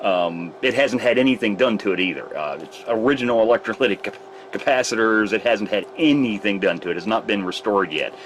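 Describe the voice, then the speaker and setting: A man with a fairly low voice rambles through a demonstration of his test setup at a repair bench, his pitch rising and falling a lot. He talks casually and explains each piece of gear step by step. A faint hiss of room noise sits under his voice. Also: low-quality recording. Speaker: radio repair technician.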